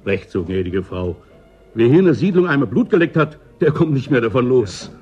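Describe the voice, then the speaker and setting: A man's voice: medium pitch, big pitch swings, brisk, a little mellow, husky, muffled recording, faint music behind. A man playing a courteous, obliging and knowing bar waiter in a German radio drama.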